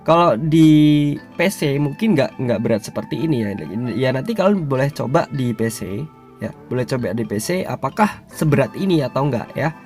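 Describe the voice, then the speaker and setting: Man, hoarse, mid-pitched, slangy and rambling, throat clearing, faint music behind. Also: expressive, moderate pace, darkish tone. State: hoarse, unwell. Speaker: YouTuber reviewing crypto sites. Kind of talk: casual screen-recorded tutorial.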